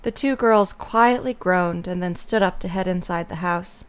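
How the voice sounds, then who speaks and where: A woman's voice: on the low side, moderate pace, smooth. A woman narrating a scripted story as the narrator of an audio drama.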